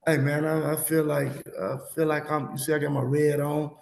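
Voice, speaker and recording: A man in his thirties or forties hosting a sports podcast, losing his voice, rambling and animated as he tells stories in casual chat. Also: fairly high pitch, normal speed, gravelly, roomy sound, a crowd in the background. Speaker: podcast host.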